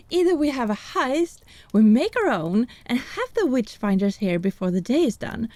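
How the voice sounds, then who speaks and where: A woman's voice: mid-range voice, a little mellow, no room sound. A woman playing a wry, irreverent witch and healer in a fantasy audio drama, wordy and sardonic. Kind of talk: fantasy audio roleplay acting.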